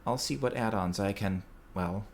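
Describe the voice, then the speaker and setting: A man giving a prepared, measured report as a contributor to a podcast. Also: lowish voice. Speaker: listener sending in a recorded report. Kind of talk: prepared, scripted-sounding report. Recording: clear background noise.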